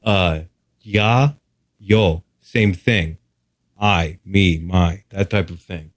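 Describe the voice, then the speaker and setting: Low-voiced man, candid and dryly casual. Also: extremely slow, dry recording, studio quality. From Texas, United States. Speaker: podcast guest, hypnotherapist. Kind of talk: casual interview chat.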